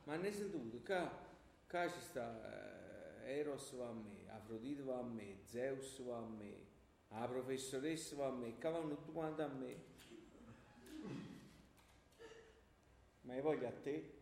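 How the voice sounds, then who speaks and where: A man's voice: mid-range voice, a little slow, creaky, a little mellow. A man as a brash southern Italian pupil, echoey. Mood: pushy, flirty. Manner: colloquial, rambling, pushy. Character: brash, slangy male pupil playing Dionysus, shamelessly flirty. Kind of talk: comic dialect stage acting. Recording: echoey room, faint background noise.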